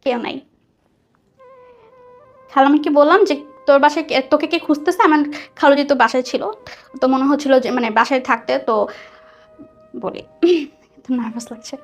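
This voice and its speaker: A woman with a Bangladeshi accent telling a personal story conversationally, animated and dropping in English words. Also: high-pitched, moderate pace, long pauses. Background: soft background music.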